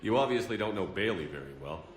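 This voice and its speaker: A man's voice: lowish voice, lively intonation, quick pace, lightly acted. A man playing a hard-nosed military commander in a video game, blunt and clipped. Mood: terse, businesslike. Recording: roomy sound, faint music behind.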